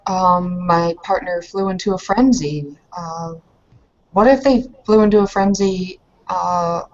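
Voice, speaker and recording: A woman's voice: fairly low pitch, a little mellow, lo-fi sound, close to an everyday voice. A woman voicing an earnest young foreign woman in a roleplaying game, careful and proper, searching for her words. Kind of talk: improvised in-character tabletop roleplay.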